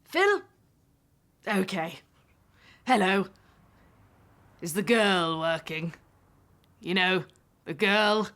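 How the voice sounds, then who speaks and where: A woman's voice: mid-range voice, animated, extremely slow, pauses often, fairly bright tone. A woman playing a brusque, imposing British man in his late forties, with clipped, punchy, staccato delivery in an audio drama. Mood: eager, furtive. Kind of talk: audio drama phone-call dialogue.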